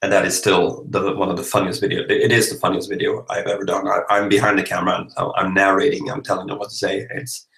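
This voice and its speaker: Low-pitched, creaky Swedish man. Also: flat delivery, quick pace, hardly any pauses, fairly bright tone. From Sweden. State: tired. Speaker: livestream host. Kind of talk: casual livestream chat, reading and answering comments. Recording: roomy, echoey livestream microphone.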